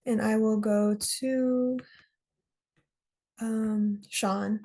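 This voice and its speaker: Young woman, higher pitch, flat tone, hesitant student. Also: extremely slow. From Montana. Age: young adult. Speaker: student fellow.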